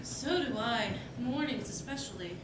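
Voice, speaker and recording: A high-pitched young wife , acted by a woman, in a radio play, tender and lightly teasing. She sounds a little off-mic in a roomy space with loud noise. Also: expressive, unhurried, distant mic. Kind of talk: live 1940s-style radio play acting. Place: live stage performance.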